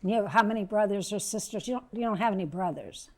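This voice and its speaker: A woman's voice: mid-range voice, fast talker, a little mellow. An older woman hosting a live interview in a casual, chatty style. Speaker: talk show host.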